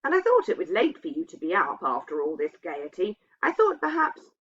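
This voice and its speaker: A woman's voice: lowish voice, wildly swooping pitch, normal speed, fairly bright tone, slightly rough, exaggerated voice. A woman voicing a reserved, decorous middle-aged housekeeper in a storybook reading, in a reticent, proper manner. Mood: hesitant. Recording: dull, muffled lo-fi sound.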